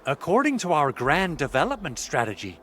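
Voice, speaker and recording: A man's voice: a little high, wildly swooping pitch, brisk, sharp tone, full character voice. A man voicing a confident, enthusiastic planner who briefs his team in a video game. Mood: confident, explanatory. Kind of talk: scripted game dialogue, explanatory. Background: game music.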